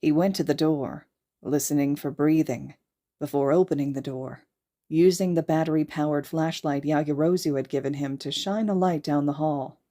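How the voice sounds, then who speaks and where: Low-pitched mellow woman narrator. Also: normal speed. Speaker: podfic reader and host. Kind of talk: scripted fiction narration.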